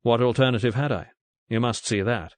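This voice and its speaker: A man voicing a cool, wry male British secret agent who answers in crisp, terse lines. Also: medium pitch, a little fast, dry recording, natural voice. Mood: clipped, wry.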